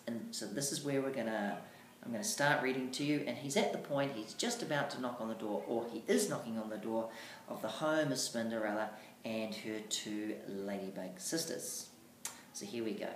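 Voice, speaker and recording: A teacher giving an instructional lesson on reading aloud, speaking deliberately and emphatically with marked pauses. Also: medium pitch. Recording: echoey room, faint background noise.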